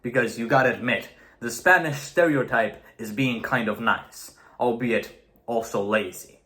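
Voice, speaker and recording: Young Romanian-native man, middle pitch, candid video-essay monologue, swears for emphasis. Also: unhurried, bright, forceful tone. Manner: earnest and blunt, swears for emphasis. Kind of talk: opinionated monologue to camera.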